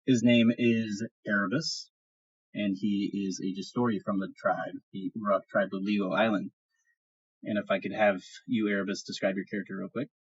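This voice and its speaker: A man runs a tabletop game as game master, improvising haltingly. His voice is low and husky, on a slightly dull recording. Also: flat delivery. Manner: halting, repeats phrases.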